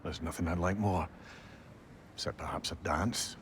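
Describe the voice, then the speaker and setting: A wry old storytelling sage. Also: male voice, sits low, normal speed. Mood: fond. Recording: background noise.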